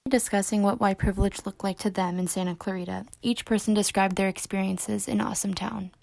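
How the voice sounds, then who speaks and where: A woman reads a news segment in a steady, scripted delivery. Her voice has a gravelly fry, and the recording is close and dry. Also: mid-range voice, a little fast, dry recording. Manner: even, read-aloud delivery. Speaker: student reporter.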